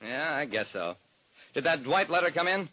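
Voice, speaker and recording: A man's voice: a little high, big pitch swings, normal speed, slight background noise. An actor playing a private detective who narrates his own cases in wry, hard-boiled first person, in 1940s radio drama style, on a dull lo-fi old recording.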